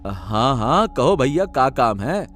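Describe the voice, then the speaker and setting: A man voicing an honest village labourer in an animated moral story, admonishing and exclaiming. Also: mid-range voice, unhurried. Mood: wary. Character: honest, scolding village labourer, weary master of a donkey.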